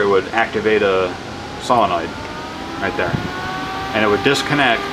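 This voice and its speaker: A man casually explaining his wind turbine setup in a workshop, rambling and correcting himself with excitement. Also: fairly low pitch. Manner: enthusiastic, rambling, self-correcting. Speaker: hobbyist wind power vlogger. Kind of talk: casual off-the-cuff demo narration.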